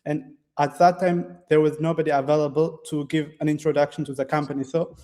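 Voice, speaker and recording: Ethiopian man, dark voice, flat delivery. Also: fairly high pitch. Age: 20s. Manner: halting, many fillers and restarts, non-native phrasing. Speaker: guest developer. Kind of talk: casual interview answers. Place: classroom.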